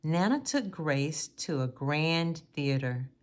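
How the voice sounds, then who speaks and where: Female storybook reader. Low register, unhurried pace, measured phrasing for young listeners. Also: expressive. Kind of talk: read-aloud children's story narration.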